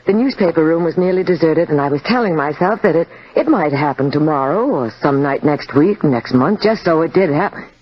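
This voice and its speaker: A woman's voice: low-pitched, lively intonation, brisk. An actress playing an anxious young library clerk who narrates her own suspense story in vintage radio drama style, earnest and hesitant. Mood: tense, suspenseful. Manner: earnest, confiding, hesitant when flustered. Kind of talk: 1940s-50s radio drama acting. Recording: dull vintage radio recording, loud music behind.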